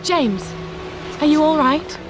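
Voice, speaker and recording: A woman's voice: high-pitched, lively intonation, takes it slowly, over music. A woman playing a flirtatious, carefree and glamorous adventurer in a video game, with a playful manner. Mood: flirty, playful. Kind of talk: video game cutscene acting.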